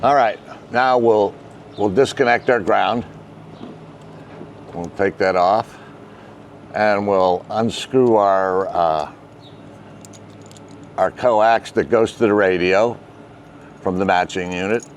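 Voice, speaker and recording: An older man in his sixties giving a casual step-by-step tutorial outdoors as host, with halting, deliberate delivery. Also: on the low side, slight background noise. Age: 60s. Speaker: host, ham radio hobbyist. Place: outdoors, residential backyard and covered patio.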